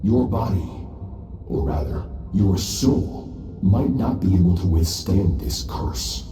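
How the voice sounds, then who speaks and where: A man voicing an aloof, self-assured master swordsman in a game, calm and measured. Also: low voice. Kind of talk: game voice acting. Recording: roomy, distant, faint music.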